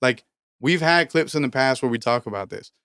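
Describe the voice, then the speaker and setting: A man's voice: medium pitch, animated, a little fast, pushed and bright, clean tone, no room sound, clean studio sound. A thirty-year-old man co-hosting a music business podcast in casual chat, ranting emphatically and swearing often. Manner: emphatic, profane, preachy motivational rants. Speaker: podcast co-host, rapper.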